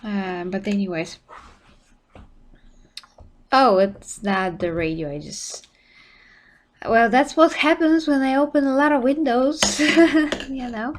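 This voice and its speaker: A woman's voice: a little high, slow pace, pauses often. A woman streamer with an Eastern European accent, talking casually and rambling through a live reaction, often laughing and correcting herself.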